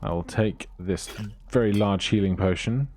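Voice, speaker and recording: A man's voice: on the low side, moderate pace, darkish tone. A man giving casual let's play commentary, muttering through items with dry humour.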